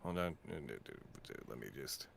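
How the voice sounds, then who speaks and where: A man's voice: rumbling low, lively intonation, fairly quick, creaky, a bit bright. A man in a casual, joking livestream chat with castmates, tossing in mild mock swears. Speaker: podcast voice actor and creator.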